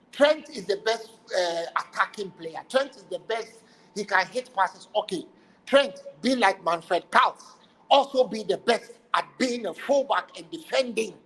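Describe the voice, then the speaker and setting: A man's voice: sits high, fairly bright tone, slight background noise, low-quality recording. A man from Ghana, co-hosting a football stream, blunt and passionate and fond of proverbs. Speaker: co-host. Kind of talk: casual football debate on a livestream.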